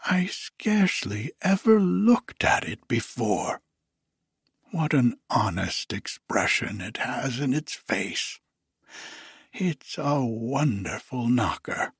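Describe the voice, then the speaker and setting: A man voicing an elderly, formerly miserly man who is now giddy with delight, exclaiming in a high, breathless voice. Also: sing-song, takes it slowly, slightly rough, dry recording, clean studio sound, full character voice. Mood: eager.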